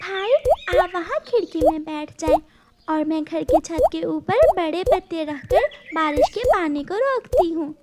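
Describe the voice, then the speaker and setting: A woman's voice: very high voice, expressive, measured pace, loud music behind the voice, voice pushed up. A woman voicing a caring, responsible little girl bird in a cartoon, earnest and childlike.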